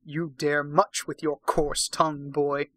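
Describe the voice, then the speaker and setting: A man's voice: a little high, little pitch movement, takes it slowly, a bit bright, no room sound. A man reading as a stern, snarling Viking warrior. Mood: menacing. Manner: snarling, haughty, archaic speech. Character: stern, indignant, imperious Viking warrior man from a romance novel.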